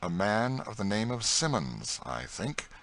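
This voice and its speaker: A man voicing a good-hearted, impulsive young gentleman from Kentucky in a storybook, frank, open and assured in manner. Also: on the low side, big pitch swings, a little slow, a little hiss or hum, pitched above the performer's own voice, full character voice. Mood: informative.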